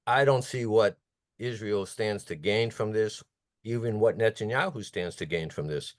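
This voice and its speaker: A man's voice: fairly low pitch, normal speed, no room sound, studio quality. A man calling into a video call, earnestly and incredulously asking a question. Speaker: caller.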